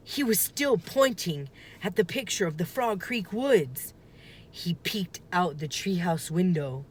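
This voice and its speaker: A woman reading a children's book aloud with expressive, deliberate, dramatic phrasing. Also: on the low side, a little hiss or hum. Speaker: storybook reader.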